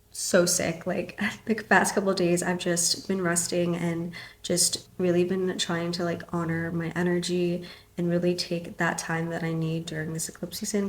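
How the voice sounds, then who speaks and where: A woman's voice: on the low side, gravelly. A woman with a stuffy, sick-sounding voice talking casually and emphatically to her online audience as a host, in an informal style. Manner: informal, emphatic, with frequent "like" and tag questions. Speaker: astrology YouTuber and podcast host. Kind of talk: casual direct-to-audience vlog talk. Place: apartment.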